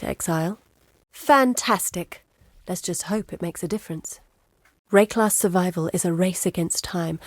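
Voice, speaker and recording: A woman playing an educated, curious, guarded map-maker in exile in a video game, speaking in a measured, earnest, articulate way. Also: mid-range voice, a little mellow, dry recording, clean studio sound, natural voice. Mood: relieved, hopeful. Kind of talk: scripted video game character dialogue. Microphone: close studio mic.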